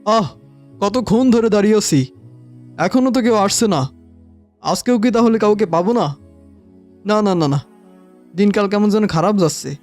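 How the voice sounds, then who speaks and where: A man voicing a boastful, theatrical bandit chief with a soft heart in a cartoon. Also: high-pitched, animated, normal speed, pauses often, soft background music. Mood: impatient, boastful. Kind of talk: animated cartoon voice acting.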